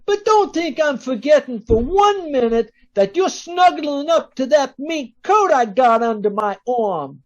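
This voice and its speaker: A woman's voice: high voice, expressive, a little slow, hardly any pauses, lo-fi sound. A woman playing a tough, cocky small-time crook in an old-time radio drama, with slangy, bossy delivery.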